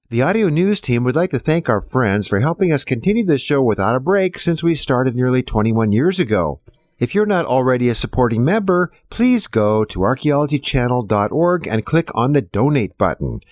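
Guy hosting a news show, reading a script, really animated intonation, dry close sound. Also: medium pitch, no echo.

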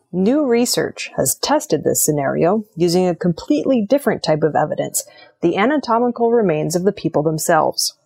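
A woman reading scripted news narration in a measured, formal and informative manner. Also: on the low side, vocal fry, darkish tone, slightly rough. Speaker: news reader.

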